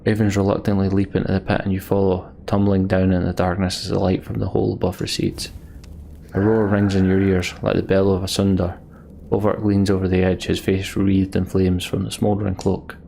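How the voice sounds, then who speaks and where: Gravelly low-voiced man narrating a game. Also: monotone, a little fast, mellow, slight background noise. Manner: plain narration, frustrated asides. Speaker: let's play host. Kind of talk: reading game text aloud and commenting.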